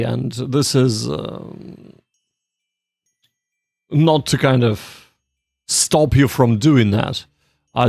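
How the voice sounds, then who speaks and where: Man, mid-pitched creaky voice, casual livestream host, halting explanations, repeats himself, faint music behind. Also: a little mellow, no room sound. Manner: halting, repeats himself, addresses viewers directly. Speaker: livestream host of a Japanese study session.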